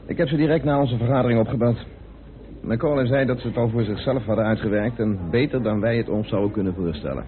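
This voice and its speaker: Actor as composed parliamentary sleuth, tinny old radio sound. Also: male voice, mid-range voice, fast talker, slight background noise, lightly acted. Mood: matter-of-fact. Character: composed, well-informed male British parliamentarian turned amateur sleuth. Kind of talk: Dutch radio play acting. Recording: narrow, phone-like old radio recording.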